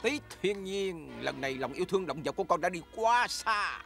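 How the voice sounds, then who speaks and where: A man's voice: high-pitched, lively intonation, normal speed, vocal fry. A man playing a wise, paternal elderly gnome leader who admonishes his villagers in a cartoon dub. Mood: stern. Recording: music behind the voice.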